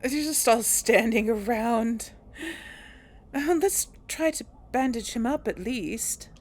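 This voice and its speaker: A woman's voice: a little high, slow pace, a little hiss or hum. A woman hosting a casual gaming channel, thinking aloud and laughing as she plays. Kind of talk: casual gameplay commentary.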